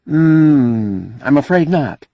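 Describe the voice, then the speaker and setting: A man's voice: on the high side, expressive, slow, dry recording, dull audio, pitched above the performer's own voice. A man voicing a spirited, outspoken young woman in an audiobook, earnest and challenging in manner. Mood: hesitant. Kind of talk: audiobook character dialogue.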